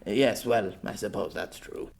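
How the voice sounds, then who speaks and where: A man's voice: lowish voice, unhurried, creaky, darkish tone, pitched below the performer's own voice. A man voicing a stuffy, proud old former knight with a formal, hesitant manner, in an audiobook story. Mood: flustered, stiff.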